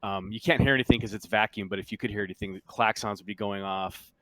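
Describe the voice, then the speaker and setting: A man running a tabletop game, narrating off the cuff in a halting, conversational, explanatory way. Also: lowish voice, steady tone, pushed and bright, no room sound, poor audio. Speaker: game master.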